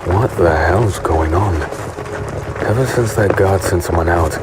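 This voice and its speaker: An actor playing a wary hooded rogue, narrating in the first person in a fantasy audio drama, low-key and brooding. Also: male voice, measured pace, very noisy. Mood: anxious. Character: wary, hooded male rogue narrating his own infiltration. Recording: loud music bed behind voice.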